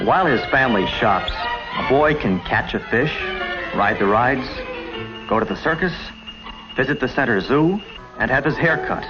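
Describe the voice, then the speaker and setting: A man's voice: mid-range voice, animated, moderate pace. Male narrator, American, 1950s promo-film script read, music underneath, muffled vintage soundtrack. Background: background music.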